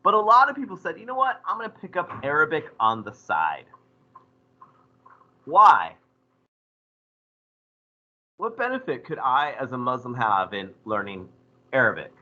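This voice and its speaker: A man teaching a history class, lecturing in a lively way and putting questions to his students. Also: medium pitch, a little slow, pauses often, highs cut off. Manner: animated, asks the class questions.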